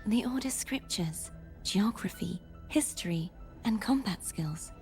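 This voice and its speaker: An actress playing a solemn, softly mournful young woman warrior in a video game, telling dark lore in a breathy, measured voice over music. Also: medium pitch, animated. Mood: calm, explanatory. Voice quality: breathy, hushed. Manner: slow, measured, reverent storytelling. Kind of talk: scripted video game dialogue. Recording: music behind the voice.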